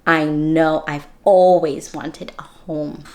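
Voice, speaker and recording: Woman as a gentle, slow-speaking little toy bear. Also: deep voice, steady tone, voice pushed down. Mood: wistful. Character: small gentle toy bear, longing for home.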